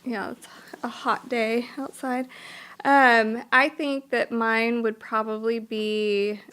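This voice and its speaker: A woman in a casual podcast chat, talking in a relaxed way with pauses and hedges. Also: on the high side, smooth.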